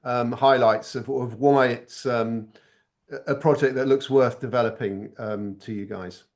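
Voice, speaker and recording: A man's voice: mid-range voice, steady tone, a little slow, a little mellow. A man hosting an informal business interview, feeling his way hesitantly through his questions. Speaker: interviewer, show host.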